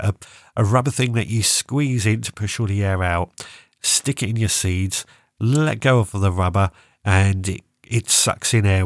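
Congested male podcast host, low voice, casual rambling gardening chat, dry close mic. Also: no room sound. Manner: rambling, self-correcting, chatty. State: congested, sore throat and cough.

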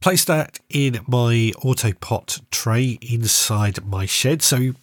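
A middle-aged man hosting his podcast, stuffed up from a cold and chatting in a relaxed way with frequent ums. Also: mid-range voice, slow, no room sound. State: congested from a cold. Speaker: podcast host. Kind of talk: solo casual update.

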